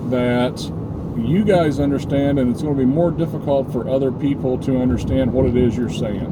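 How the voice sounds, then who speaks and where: A man with a mid-range voice speaks informally, like a vlog host teaching a practical lesson. He explains things deliberately, point by point, and often checks that listeners follow. A loud, constant hum of background noise sits behind his voice throughout. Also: mid-range voice. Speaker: preparedness video host, former law enforcement officer. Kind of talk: informal instructional vlog talk.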